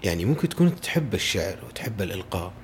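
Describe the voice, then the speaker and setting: A man talks in quick, eager bursts, his voice sitting very low. He is a voice-over artist answering questions as a guest in a relaxed podcast chat, with a faint hiss behind him. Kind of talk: casual conversation.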